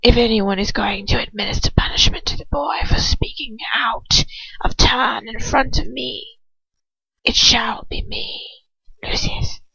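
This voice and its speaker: A woman voicing a sinister male dark lord in a slow, breathy, whispery hiss, calm and imperious, for an audiobook reading. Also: on the high side, dry recording, dull audio, exaggerated voice. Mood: quietly reprimanding. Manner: slow, measured, calmly imperious.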